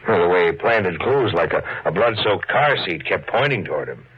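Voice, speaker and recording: Steady folksy policeman, deep and husky, matter-of-fact, vintage radio drama on a muffled recording. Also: moderate pace. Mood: explaining, earnest. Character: plain-spoken, steady male police officer. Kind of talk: 1940s radio acting. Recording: dull lo-fi 1940s radio recording.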